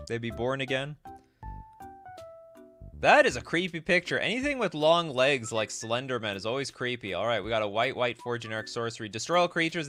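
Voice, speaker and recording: A man hosting a casual live stream, reading aloud and thinking out loud with frequent exclamations. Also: mid-range voice. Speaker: host of a card game live stream.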